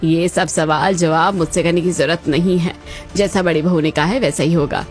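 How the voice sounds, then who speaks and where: A woman's voice: lowish voice, steady tone, normal speed, a little mellow, soft background music, close to an everyday voice. A woman playing a curt older mother-in-law in a cartoon story. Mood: stern, cold.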